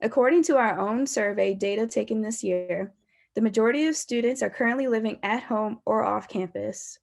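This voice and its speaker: A woman's voice: fairly high pitch, moderate pace, vocal fry. A woman in her twenties with an American accent, a university student reading a scripted presentation. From Baltimore, United States. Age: 20s.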